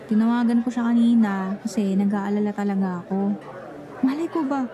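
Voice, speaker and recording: A woman's voice: medium pitch, moderate pace, crowd noise, lightly acted. A young woman acting a grumpy, jealous friend who feigns nonchalance in an ASMR roleplay, pouty and defensive, slipping casual English into her talk. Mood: hurt, worried. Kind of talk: Tagalog ASMR roleplay acting, one-sided conversation.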